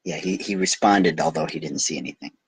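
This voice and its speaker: A man reading a bedtime story aloud and loosely translating it as he goes, halting and breaking off for amused asides. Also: extremely low pitch, vocal fry, breathy edge. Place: recording himself on video.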